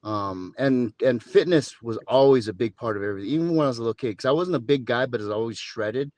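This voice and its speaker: A man's voice: mid-range voice, fast talker, darkish tone, no echo. A man in his fifties from Southern California, telling his story as a podcast guest with dry jokes and rambling asides. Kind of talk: casual interview talk.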